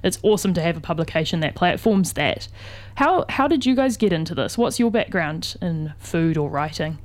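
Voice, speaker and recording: A woman's voice: lowish voice, animated. Kiwi lady hosting morning radio, chirpy, lots of "awesome". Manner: upbeat, encouraging, quick affirmations. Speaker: radio host. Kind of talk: breakfast radio interview.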